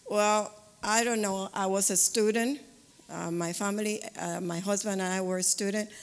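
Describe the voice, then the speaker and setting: Halting woman in a reverberant hall. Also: mid-range voice, steady tone. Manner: halting, searching for words. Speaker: congregation member giving testimony. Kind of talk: personal testimony.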